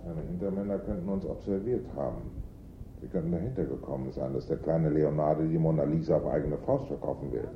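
An actor playing a suspicious, impatient gentleman conspirator, urgent and commanding as he questions people. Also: fairly quick. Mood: suspicious, nervous. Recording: dull vintage radio recording, faint rumble.

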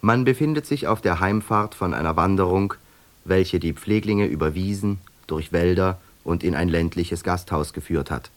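A man narrating a radio play in a measured, detached way through long winding sentences. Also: deep voice.

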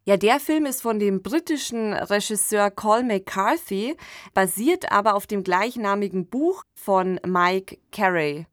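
A woman's voice: mid-range voice, a little slow, no echo, studio quality. A woman hosting a podcast, giving conversational commentary in an informal, explanatory way. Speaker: podcast host.

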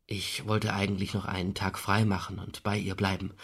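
An actor playing an earnest, troubled man under suspicion in a radio drama, with a husky voice and insistent delivery. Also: deep voice, level tone, normal speed, a bit bright, full character voice.

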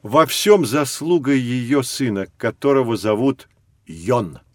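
A man playing a knowledgeable, patient teacher who explains things to children in a measured, expressive storytelling way. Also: mid-range voice, dry recording, studio quality, close to an everyday voice. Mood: explanatory. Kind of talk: scripted educational storytelling for children.

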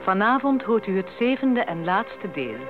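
Brief: dramatic male radio serial announcer, high, swooping delivery, tinny vintage sound. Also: very animated, measured pace. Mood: solemn, dramatic. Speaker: series announcer. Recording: tinny old radio recording, loud music.

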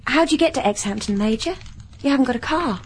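A high, eager young daughter. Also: female voice, lively intonation, quick pace, slight background noise. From South Africa. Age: young adult. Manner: breathless girlish enthusiasm.

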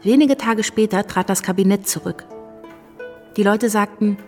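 An actress playing a candid young wife, recounting revolutionary days plainly in a radio drama. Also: female voice, medium pitch, normal speed, darkish tone, soft background music, natural voice. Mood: candid recollection. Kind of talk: radio drama first-person narration.

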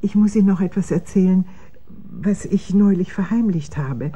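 Soft, dark-voiced fretful aunt played by an actress. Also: female voice, medium pitch, normal speed, slightly rough, natural voice. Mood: nervous confession. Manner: nervous, hesitant. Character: fretful, respectable middle-class aunt. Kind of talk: radio drama acting.

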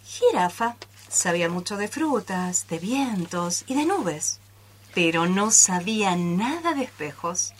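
A woman's voice: medium pitch, sing-song, slow, a little hiss or hum. A woman with an Argentine accent narrating a radio storybook tale expressively.

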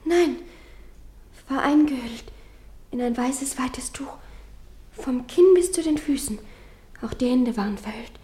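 A woman's voice: high-pitched, a little slow, pauses often, dark tone. An actress playing a timid, frightened young girl in a German radio play, hesitant and plaintive. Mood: hushed, timid.

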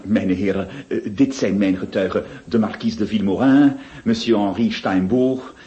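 An actor playing a formal, agreeable gentleman facing a duel in a radio play, measured in manner. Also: lowish voice, steady tone, lightly acted. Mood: formal, reserved. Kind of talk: Flemish radio play acting. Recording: dull lo-fi radio recording.

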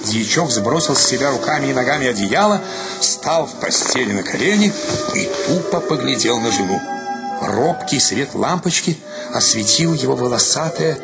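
Male narrator for dramatic literary audiobook, mid-pitched and very varied in melody, over prominent music. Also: animated, moderate pace, gravelly. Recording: loud music behind the voice. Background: loud music.

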